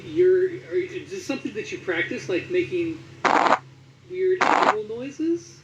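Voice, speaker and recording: A man's voice: very high-pitched, big pitch swings, normal speed, far from the mic, muffled recording, heavy background noise. A man hosting a casual interview, with a hesitant, stumbling way of phrasing questions. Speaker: interviewer, host.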